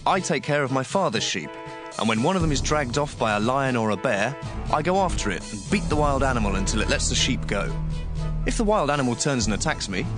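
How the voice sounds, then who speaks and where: A man's voice: medium pitch, close to an everyday voice. An actor playing a bold, earnest young shepherd boy, speaking eagerly and emphatically in a dramatized audiobook.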